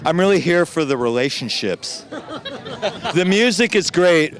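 A man's voice: on the high side, animated, a little slow. A man, a musician, cracking jokes in a street interview. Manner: joking, laughing. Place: city street outdoors.

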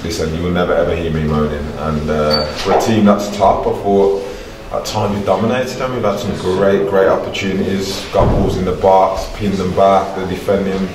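Deep-voiced football manager, echoey room. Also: male voice, level tone, normal speed, few pauses, background noise. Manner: colloquial, repeats phrases, addresses listener as mate. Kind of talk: casual post-match interview. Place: indoor stadium corridor with bare block walls. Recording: roomy, distant mic, crowd chatter behind.

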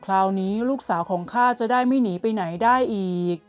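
A woman's voice: on the high side, brisk, lo-fi sound, soft background music, lightly acted. A woman voicing a shrewd middle-aged man who owns the house, in a bedtime story. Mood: calm stern. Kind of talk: storybook character voice.

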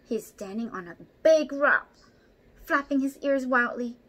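A young woman reading a picture book aloud expressively at home, pausing to ask child listeners questions. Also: high-pitched, animated, a bit bright, poor audio. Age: young adult. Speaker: storyteller for children. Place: small room at home, indoors.

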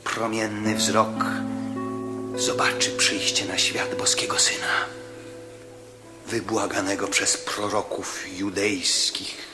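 Give me an impassioned apostle with slow, declamatory speech over music. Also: male voice, on the low side, level tone. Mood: fervent. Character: fervent apostle preaching to a Roman, intense. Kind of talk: radio drama acting.

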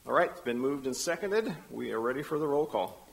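A man chairing a county council meeting in a council chamber, running hearings briskly with dry asides, speaking into a desk microphone. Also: medium pitch, lively intonation, vocal fry, rough recording.

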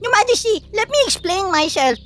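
A small, crafty male cartoon rabbit, high-pitched and bright. He is put-upon, excitable and quick-witted, with faint music behind. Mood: pleading. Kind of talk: animated cartoon acting.

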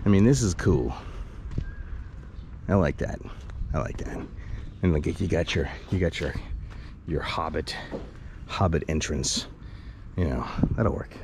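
A man's voice: deep voice, lively intonation, measured pace, pauses often. A man in his forties rambling and joking through a casual walking tour vlog, filmed on an action camera held at arm's length outdoors. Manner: rambling, jokey, chatty self-talk. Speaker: vlogger. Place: outdoors on a sunny residential street. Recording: outdoor street noise. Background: street ambience and passing cars.

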